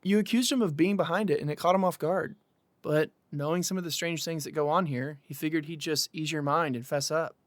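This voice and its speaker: An actor playing an earnest young man in a conversational audio drama, explaining himself in a measured way. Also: on the high side, no echo, lightly acted. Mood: earnest, defensive. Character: earnest young man pleading for patience and trust.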